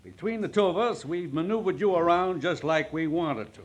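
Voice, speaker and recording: A man acts out a smug villain from 1940s radio crime drama. His voice sits somewhat high. He speaks with mocking, gloating superiority. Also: normal speed, close to an everyday voice. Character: smug, gloating male criminal mastermind.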